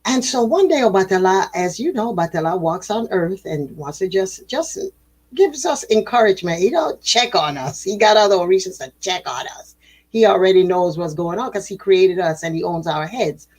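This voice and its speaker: A woman hosting a spiritual program, telling a traditional story in a casual, animated way with quick laughs and self-corrections. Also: mid-range voice.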